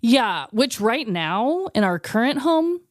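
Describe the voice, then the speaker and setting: A woman's voice: on the high side, sing-song, slow, no room sound, clean studio sound. Chatty woman, candid podcast co-host. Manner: chatty, candid, swears freely, fond of "like". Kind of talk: casual chat.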